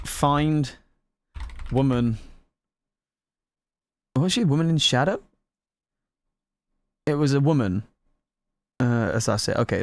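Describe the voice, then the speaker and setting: A woman giving casual, expressive, giggly playthrough commentary, reacting aloud as she plays. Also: sits low. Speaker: gaming content creator.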